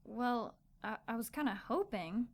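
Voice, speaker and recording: A woman's voice: on the high side, lively intonation, unhurried. A woman acting a plucky but doubtful young churchgoer in an audio drama, questioning and game. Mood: hesitant.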